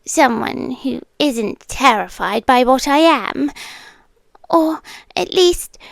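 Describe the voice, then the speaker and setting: A British woman playing a possessive, lonely immortal monster in an intimate ASMR roleplay, speaking deliberately and teasingly and often slipping into whispers. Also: high-pitched, animated, gravelly, no room sound, exaggerated voice. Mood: teasing, imperious. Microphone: close microphone, dry.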